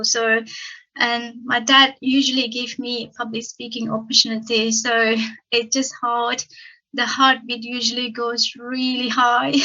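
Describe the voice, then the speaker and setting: A woman's voice: on the high side, bright, forceful tone. A woman in her fifties from Malaysia with a Malaysian accent, whose first language is Tamil, giving a personal conference talk with many ums and stuttering blocks. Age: 50s. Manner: frequent ums, slow careful phrasing with stuttering blocks. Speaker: conference speaker. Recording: dull lo-fi sound.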